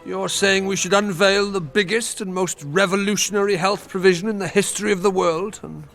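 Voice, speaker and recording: An actor playing a wry, idealistic government minister, teasing and sardonic, in a historical audio drama. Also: male voice, high voice, steady tone, fairly quick, vocal fry, a bit bright. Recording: music bed behind the voice.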